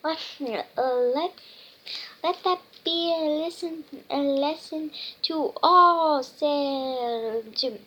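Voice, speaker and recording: Storybook pirate captain, played by a young-sounding reader: high-pitched, roaring, boastful, slow and halting. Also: sharp tone, exaggerated voice. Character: blustering, boastful pirate captain rival, male.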